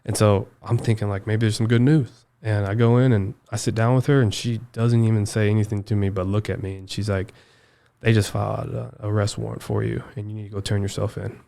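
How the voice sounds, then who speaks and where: An American man in his twenties recounting a personal story as a podcast guest, halting and emphatic, often repeating himself. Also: lowish voice, level tone, vocal fry, warm tone. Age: 20s. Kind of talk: personal storytelling in a casual interview.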